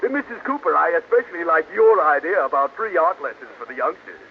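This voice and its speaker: A man playing a stuffy, formal civic gentleman who hems and is easily flattered, in an old-time radio comedy. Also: fairly high pitch, fast talker. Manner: pompous, formal, hemming. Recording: muffled old radio recording, faint noise.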